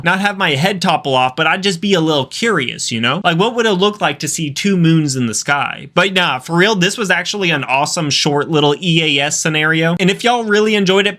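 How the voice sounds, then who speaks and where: A man's voice: a little high, bright, forceful tone. A man hosting a reaction video, giving casual commentary in a playful, slangy, exaggerated joking manner.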